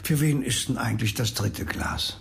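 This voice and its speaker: A creaky-voiced, complacent county politician played by a male actor in a radio crime drama. He is chummy and self-justifying, at a medium pitch, with faint room noise around him. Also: moderate pace, close to an everyday voice.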